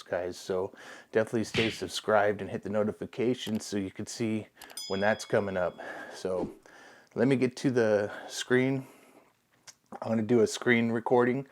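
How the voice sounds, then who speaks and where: A man in his forties talking casually to camera in a relaxed, rambling way with many fillers, his voice hoarse and going out. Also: lowish voice, slight background noise. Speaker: vlogger. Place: indoor hobby room with shelves.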